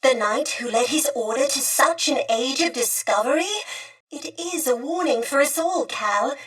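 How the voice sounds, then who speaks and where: Woman doing a prim polite lady droid for a video game, really high voice, warm n formal, bit distant from mic. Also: moderate pace, natural voice. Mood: wistful. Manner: prim, formal, courteous diction. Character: polite, warm, old-fashioned female droid, wistful and earnest. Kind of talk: video game character acting.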